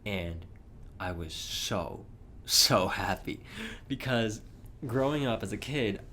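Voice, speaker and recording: Rambling young man, slightly hoarse. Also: on the low side, animated, takes it slowly, bright, forceful tone, slight background noise. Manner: rambling, chatty, with frequent chuckles. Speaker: podcast host. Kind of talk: casual solo monologue.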